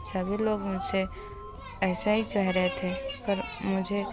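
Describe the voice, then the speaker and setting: A woman voicing a small grieving boy who cries for his mother, over soft background music. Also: medium pitch, flat delivery, slow, natural voice. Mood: tearful. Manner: tearful, plaintive.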